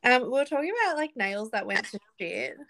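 A woman's voice: fairly high pitch, expressive, brisk, vocal fry, a bit bright, no echo. A woman in her late twenties co-hosting a casual podcast, giggly with eager reactions. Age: 20s. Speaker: podcast co-host. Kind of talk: casual chat.

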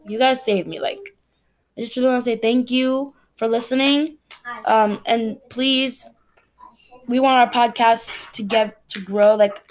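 A woman's voice: a little high, darkish tone. A teenage girl from Cameroon hosting her own podcast, talking in a chatty, rambling, earnest way. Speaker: podcast host. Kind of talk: casual solo podcast chat.

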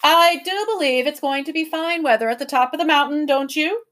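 A woman voicing a polite, steady older professor who keeps the children calm, in a storybook reading. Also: sits high, brisk, voice pushed up. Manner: courteous, encouraging, steady.

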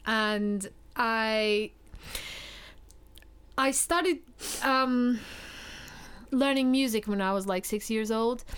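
Smooth-voiced young woman from Portugal. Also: a little high, drawn-out words, long pauses. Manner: animated storytelling with many restarts. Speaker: podcast co-host. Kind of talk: casual chat.

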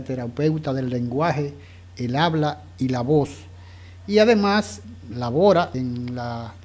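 A man hosting a radio interview in a formal, courteous and measured way. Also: medium pitch, warm tone. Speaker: radio host.

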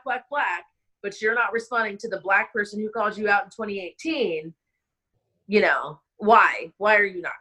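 A woman's voice: medium pitch, sharp tone, poor audio. An interview guest, a woman who talks in an impassioned, rambling way and strings her thoughts together with lots of fillers. She sounds stressed and overwhelmed as she speaks. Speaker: interview guest, wedding planner. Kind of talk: emotional conversational interview.

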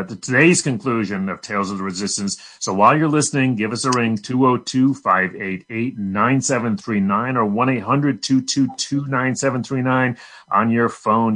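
A man with a lowish voice and a bright, forceful edge hosts a live radio talk show. He makes his pledge-drive appeal emphatically, speaking straight to the listeners.

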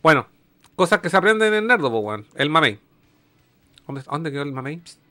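Animated, slangy Chilean man with a bright voice. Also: a little high, moderate pace, no echo. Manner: joking, slangy, profane. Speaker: show co-host. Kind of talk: casual chat, reacting to a video.

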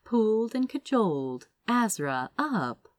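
A woman reading a story aloud as expressive scripted narration. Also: fairly high pitch, slow, dry recording, studio quality. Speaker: fan fiction audiobook reader.

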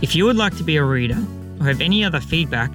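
Higher-pitched male announcer over guitar music. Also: animated, fast talker. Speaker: podcast announcer. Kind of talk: scripted podcast announcement.

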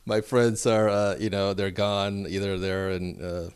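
A man from Mexico City, a photographer guest, answering in a relaxed, short way. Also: low-pitched, a little slow, smooth. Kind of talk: casual interview.

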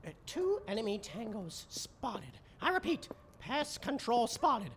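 A woman's voice: on the high side, very animated, a little slow, a little hiss or hum. Woman doing a stammering rookie raccoon boy. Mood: dramatic. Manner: stammering, dramatic radio chatter. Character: nervous eager young male rookie raccoon.

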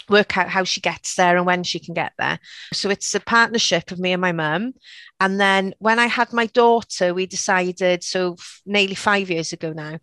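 A Welsh woman who runs a shop, chatting freely in an interview and often doubling back to restart a thought. Her voice sits at a middle pitch. The sound is close and dry. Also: fairly bright tone, no echo. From Wales. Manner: chatty, frequent restarts and "you know". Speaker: shop owner guest. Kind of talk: casual interview chat.

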